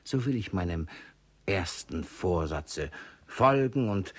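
A man's voice: deep voice, lively intonation, slow pace, exaggerated voice. An actor playing a cunning, suspicious old miser and father in a classic comedy radio play, probing and repeating little words. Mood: crafty, firm.

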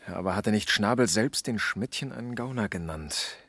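Husky, eager junior detective. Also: male voice, fairly low pitch, normal speed, fairly bright tone, dry recording. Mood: puzzled, speculative. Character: eager junior male police detective, earnest, speculative. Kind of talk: 1970s German radio crime drama acting.

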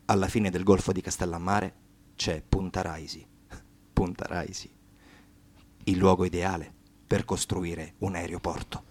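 A man's voice: sits low, flat delivery, unhurried, long pauses, a little mellow. A man narrating a scripted radio drama in a dramatic storytelling style.

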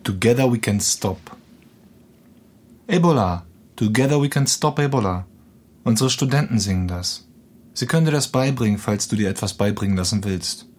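An actor playing a prickly, disapproving doctor in a radio drama, curt and reproachful. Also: male voice, fairly low pitch, expressive, normal speed, long pauses, a little mellow. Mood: indignant, reproachful. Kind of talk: naturalistic radio drama acting.

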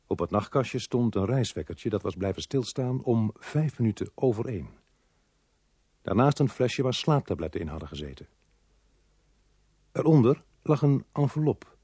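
An actor playing a brisk, world-weary police inspector who narrates his case in a radio crime drama. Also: mid-range voice, animated, warm tone, breathy edge, dry recording. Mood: sober narrating.

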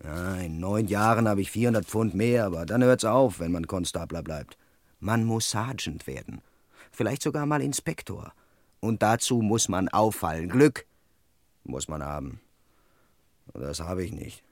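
A man's voice: low-pitched, animated, a little slow, no echo. A man playing an ambitious, dutiful young London police constable in his twenties in a 1970s radio play, musing to himself or deferring to superiors. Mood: bored, musing. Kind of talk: 1970s German radio play acting, monologue and dialogue.

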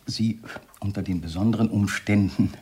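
An actor playing a sinister, jealous doctor in a German radio play, speaking with menacing politeness that gives way to taunts and whispers. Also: male voice, lowish voice, steady tone, normal speed, warm tone, slightly rough. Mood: smug, urbane. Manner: silky mock courtesy breaking into outbursts and whispers.

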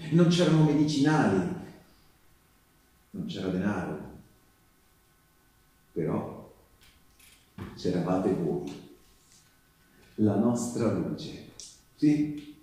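Mellow wartime partisan remembering his youth, intimate, lots of pauses, mic far off. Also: low-pitched. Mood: weary, bitter. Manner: intimate, halting, with dramatic pauses. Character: male wartime partisan tenderly recalling youth in the mountains. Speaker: stage actor performing a monologue. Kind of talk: theatrical monologue, spoken letter to a loved one. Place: live stage performance, recorded from a distance. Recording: distant microphone in a very echoey room.

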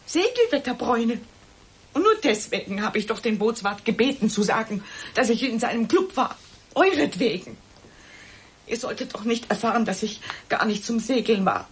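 Radio drama casting: higher-voiced, very breathy woman, evasive and desperate, halting delivery. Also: sing-song, full character voice. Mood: agitated, confessing. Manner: halting, pleading, defensive. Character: distraught cornered woman, evasive, pleading, guilt-ridden. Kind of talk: German radio play acting.

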